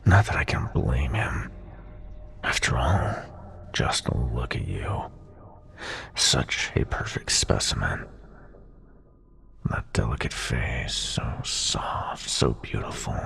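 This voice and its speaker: A man playing a sinister, predatory prince of nightmares in an ASMR roleplay, gloating in a breathy whisper with mock affection. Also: extremely low pitch, big pitch swings, slow, pauses often, a bit bright, pitched below the performer's own voice, heavily acted. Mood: menacing, adoring.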